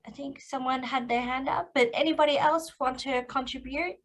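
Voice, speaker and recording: A woman's voice: high voice, fairly even intonation, normal speed. Chatty woman moderating a panel. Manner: chatty, filler-heavy, with many likes and you knows. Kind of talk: online panel discussion.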